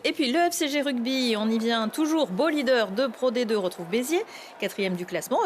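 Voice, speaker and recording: A woman presenting a local television news bulletin. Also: on the high side.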